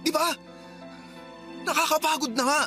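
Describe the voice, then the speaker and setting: A man's voice: very high-pitched, steady tone, normal speed, bright, forceful tone, faint music behind. A man acting a tired young man defending himself in a radio drama argument with his girlfriend. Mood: arguing, weary. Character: weary young man in toxic relationship.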